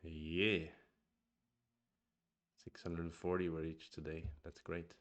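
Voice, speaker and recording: A man's voice: deep voice, flat delivery, slow, a bit bright, muffled recording. A man streaming a review of his own go games, thinking aloud in a casual chat with murmured asides and restarts; his first language is Swedish.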